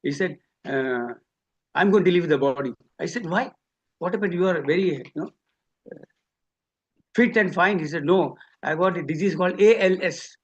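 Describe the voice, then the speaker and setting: A man's voice: high voice, moderate pace, long pauses. A man from India with an Indian accent gives a memorial tribute, telling personal anecdotes and acting out both sides of conversations, on a lo-fi recording. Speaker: devotee giving a memorial tribute. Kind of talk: spoken eulogy with personal anecdotes. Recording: dull lo-fi speech recording.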